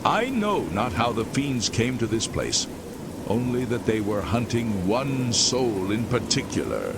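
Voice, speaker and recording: An actor playing an ancient, weary former priest damned to an endless abyss, speaking slowly and solemnly. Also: male voice, mid-range voice, huge pitch swings, creaky, very noisy, exaggerated voice. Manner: slow, solemn, drawn-out delivery. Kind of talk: game character dialogue. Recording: loud rain and thunder behind.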